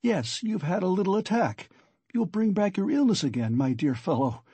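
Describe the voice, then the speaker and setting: Sly, solicitous investigator for a novel, played by a male reader: animated, husky, rambling and wheedling. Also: a little high, a little slow, no echo, voice pushed up, exaggerated voice. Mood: fussing, solicitous. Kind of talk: audiobook character acting.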